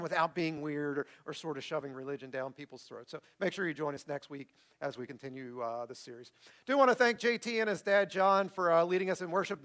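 Male pastor, fairly high voice, conversational church delivery that leans on repetition for emphasis. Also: fairly bright tone. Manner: conversational, repeats phrases for emphasis. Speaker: pastor preaching. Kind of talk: church sermon with closing prayer and announcements. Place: speaking to a congregation in a room.

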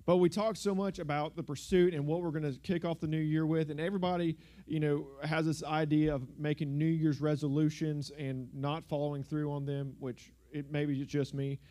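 A young man with a drawl preaches to a congregation in a loose, joking way, filling his talk with "like" and "y'all." His voice is mellow and warm, carried through a handheld microphone in a church. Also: on the high side. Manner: joking, conversational, uses filler words like "like" and "y'all". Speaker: guest preacher. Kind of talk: casual sermon. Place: church sanctuary.

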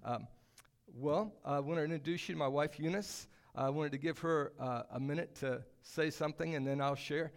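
A man speaking as a guest missionary at church, greeting the congregation in a halting way with many uhs and repeated words. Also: on the high side.

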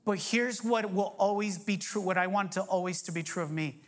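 A man in his forties preaching a sermon as pastor, energetic, joking and firing off rhetorical questions. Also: high voice, moderate pace. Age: 40s.

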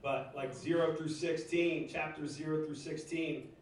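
A man from Northeast Philadelphia giving a halting personal testimony to a church congregation, full of fillers and restarts. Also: on the high side, steady tone. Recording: echoey room, distant mic, faint noise.

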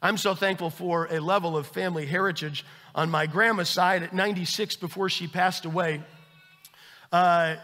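A man preaching a sermon as pastor, with earnest emphasis that swells and then drops to a hushed tone. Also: on the high side, long reverb tail. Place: church sanctuary.

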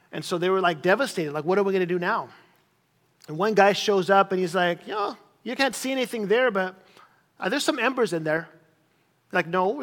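A man's voice: high-pitched, a bit bright. A middle-aged man from Chile preaching a sermon in a conversational, emphatic way, repeating phrases to drive them home. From grew up in Chile as a missionary kid. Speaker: pastor. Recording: reverberant hall.

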